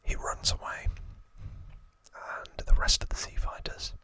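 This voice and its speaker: A man hosting ASMR, narrating trivia in a breathy, hushed whisper with unhurried pauses. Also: dry recording, rough recording. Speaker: ASMR host.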